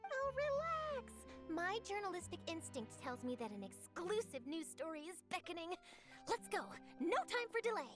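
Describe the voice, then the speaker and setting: A woman playing an eager young female newspaper reporter in a video game, theatrical and excitable. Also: very high-pitched, normal speed. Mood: excited. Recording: music behind the voice.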